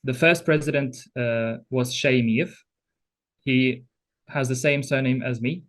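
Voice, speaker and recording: Man giving a relaxed talk. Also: mid-range voice, measured pace. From Tatarstan, Russia. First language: Tatar. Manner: easygoing, frequent ums and small laughs. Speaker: guest speaker. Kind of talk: lecture presentation with slides. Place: university event room.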